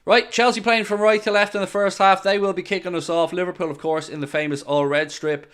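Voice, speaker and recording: Smooth-voiced man commentating a live match. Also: high-pitched, fairly quick. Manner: excitable, jokey, addresses viewers directly. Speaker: host of a live football watchalong.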